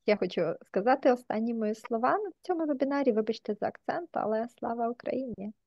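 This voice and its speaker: A woman's voice: fairly high pitch, animated, fast, vocal fry, dry recording, highs cut off. A woman interpreting a webinar over a video call, phrasing her words carefully. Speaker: interpreter and moderator.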